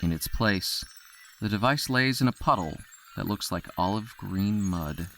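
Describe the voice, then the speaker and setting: A male narrator reads a scripted audio drama. His voice is on the low side, with a bright, forceful edge. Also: a little slow, vocal fry, slight background noise, rough recording.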